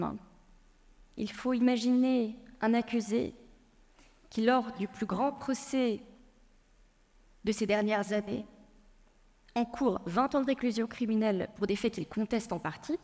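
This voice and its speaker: A woman lawyer giving a prepared public talk, telling a personal story in a measured way with frequent hesitations. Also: fairly high pitch, a little mellow, long reverb tail. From France. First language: Persian. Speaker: lawyer and speaker.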